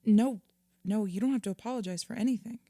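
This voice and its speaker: An actress playing a composed, sympathetic therapist in an audio drama, measured and gently questioning. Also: female voice, fairly high pitch, no echo, lightly acted. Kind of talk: audio drama acting, therapy session dialogue.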